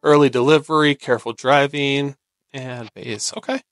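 An American man giving casual let's-play commentary, easygoing and thinking out loud. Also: mid-range voice, fairly even intonation, normal speed, no echo. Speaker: gaming video host.

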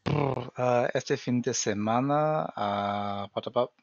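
A man learning Spanish, speaking quietly and with hesitation as he searches for words. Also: medium pitch, takes it slowly, creaky, dry recording. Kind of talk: halting learner Spanish in a class. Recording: dry, muffled web meeting audio.

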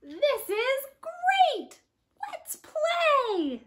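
A little storybook pig, acted out by a woman, quick to delight and dismay. The voice is very high and bright, swinging widely as it exclaims and stretches out words. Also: sing-song, slight background noise, full character voice.

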